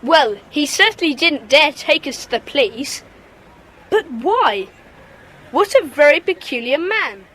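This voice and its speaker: A woman playing a decisive schoolboy who leads a children's club in an audio drama, brisk and commanding. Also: sits high, very animated, fairly bright tone, full character voice. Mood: puzzled.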